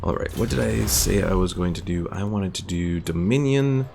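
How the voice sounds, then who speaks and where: A man's voice: deep voice, lively intonation, moderate pace, gravelly, background noise. A man doing casual live gameplay commentary, thinking aloud and explaining how things work. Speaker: video game streamer. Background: game music.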